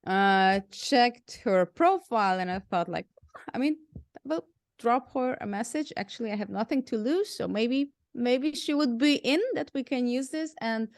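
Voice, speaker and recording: A woman's voice: fairly high pitch, a little slow, dry recording, studio quality. Hungarian-German accented woman, giggly. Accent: mix of Hungarian and German. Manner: laughs often, lots of "like". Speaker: guest musician. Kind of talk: casual interview chat.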